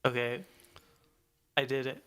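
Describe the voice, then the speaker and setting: A man's voice: medium pitch, level tone, measured pace, a bit bright. A man chatting casually as a player in a tabletop game.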